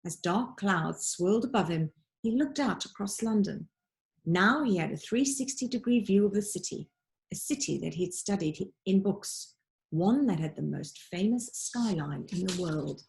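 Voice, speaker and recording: A woman reads a children's adventure story aloud in an expressive, teacherly way. Her voice sits at a middling pitch, and she places dramatic, deliberate emphasis on key words as she narrates. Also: creaky. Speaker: teacher reading aloud to pupils.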